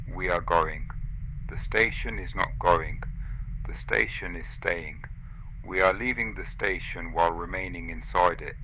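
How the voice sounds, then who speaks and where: A resigned, dying station officer played by a man records final logs in a sci-fi audio drama. The deep voice has a hard, bright edge, with grave, clipped delivery over faint background noise. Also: low-quality recording. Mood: urgent, resigned. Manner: grave, measured, clipped sentences.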